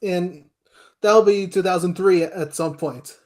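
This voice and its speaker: A man from the United States chatting casually as a podcast guest, with hesitant, halting phrasing and short answers. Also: high-pitched, a little mellow. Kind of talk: casual chat.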